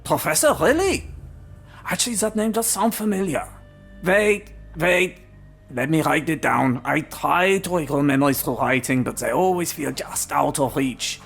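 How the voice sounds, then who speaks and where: Muddled amnesiac professor, raspy, creaky, animated pitch, courteous, hesitant, game drama. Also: male voice, moderate pace, faint music behind, full character voice. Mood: eager, muddled. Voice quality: husky, creaky. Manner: polite, hesitant, bewildered. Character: befuddled, amnesiac asylum patient, a former professor. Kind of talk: video game voice acting.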